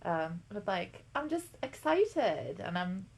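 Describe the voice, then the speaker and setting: A woman's voice: mid-range voice, very animated, takes it slowly, slightly rough. A woman in her twenties from Latvia, co-hosting a podcast in casual chat, talking with many ums and likes. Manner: chatty, lots of ums and likes. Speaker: podcast co-host.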